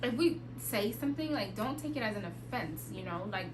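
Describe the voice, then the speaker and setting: A woman's voice: medium pitch, animated, brisk. A woman podcast co-host in casual chat, speaking emphatically and repeating her points. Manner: emphatic, repetitive, preachy. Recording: echoey room with background noise.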